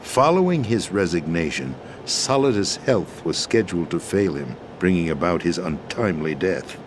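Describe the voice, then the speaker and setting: An actor playing a weary, formal deposed president and schemer in a video game, explaining things in a measured, deliberate way. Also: male voice, low-pitched, animated, background noise. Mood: grave, measured. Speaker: video game character in a cutscene. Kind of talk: dramatic expository video game acting.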